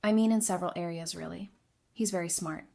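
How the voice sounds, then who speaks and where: A woman's voice: fairly low pitch, fast, vocal fry, warm tone. An actress playing a candid, dry-witted woman adviser in an audio drama, talking in a frank, conversational way. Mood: thoughtful, frank. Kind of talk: fan audio drama acting.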